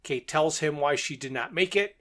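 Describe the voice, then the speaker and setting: A man with a fairly high, bright, cutting voice co-hosts a casual podcast. He recaps stories in short, conversational sentences with a jokey streak. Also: moderate pace. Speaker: podcast co-host. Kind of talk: casual discussion, recapping a story.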